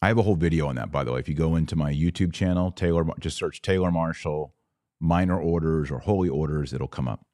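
Deep-voiced man teaching in a live Q&A. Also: fast, darkish tone, no room sound, clean studio sound. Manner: didactic, explanatory, asks and answers own questions. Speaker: podcast host answering viewer questions. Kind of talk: live Q&A, informal religious teaching.

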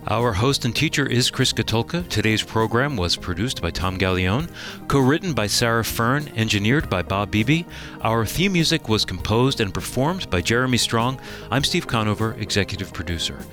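A male announcer reads the credits in a smooth, formal way. His voice is fairly low, with soft music under it. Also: expressive, moderate pace. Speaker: executive producer and announcer. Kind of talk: scripted radio announcement.

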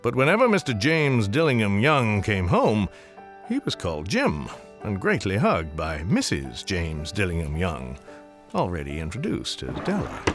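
Wildly expressive male storybook narrator. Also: fairly low pitch. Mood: wry, droll. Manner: wry, ornate, deliberate storytelling. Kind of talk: scripted dramatic narration. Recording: music clearly behind.

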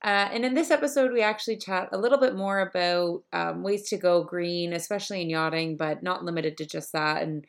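Podcast host, young woman, cheerful and chatty, lower pitch. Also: steady tone, fairly quick, few pauses. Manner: upbeat, chatty, with frequent ums. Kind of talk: podcast interview.

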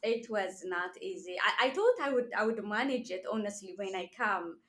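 A woman's voice: mid-range voice, animated, moderate pace, a bit bright. A woman from Ethiopia, a doctoral student guest, speaking earnestly and emphatically. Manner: earnest, emphatic, with small laughs. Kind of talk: conversational interview.

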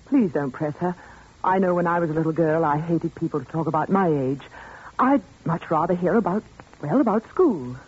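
An actress playing a gentle, anxious family friend, soothing and hesitant, in 1940s radio suspense style. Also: female voice, on the low side, expressive, normal speed, husky. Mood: gentle coaxing. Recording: muffled 1940s radio recording.

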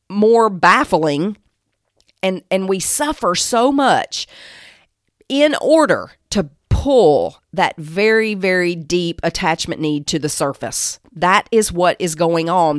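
A woman teaches in a devotional style with a medium-pitched voice. She exhorts the listener emphatically and repeats phrases for weight. The recording is dry, with very little room sound. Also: no echo. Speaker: Bible teacher and podcast host.

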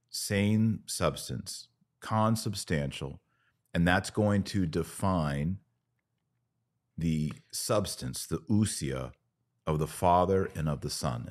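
A man with a deep voice hosts a religious discussion. His delivery is lively and varied in pitch, emphatic and plain-spoken, as he explains points conversationally. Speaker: podcast host.

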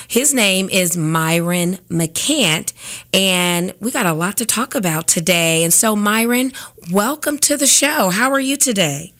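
A woman hosting a radio cooking segment, raspy from sinus trouble, chatting casually with lots of fillers in a studio. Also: medium pitch, animated, measured pace, fairly bright tone.

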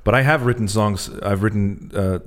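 A man's voice: fairly low pitch, normal speed. A man hosting a podcast in a casual, conversational interview style. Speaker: podcast host, songwriter.

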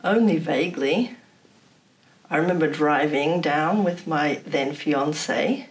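A woman's voice: deep voice, slow. A woman from Poland who grew up in Australia, answering interview questions with personal recollections. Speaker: interview guest. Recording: distant from the microphone.

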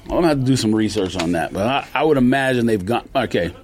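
A man's voice: mid-range voice, expressive, fast, a crowd in the background. An American man on a podcast panel, debating casually in a blunt, argumentative manner.

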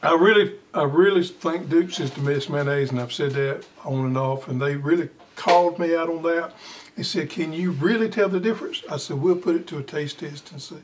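A man hosting a garden radio show in casual chat, his voice slightly raspy as he recovers from illness. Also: on the high side, brisk. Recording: distant mic, faint noise.

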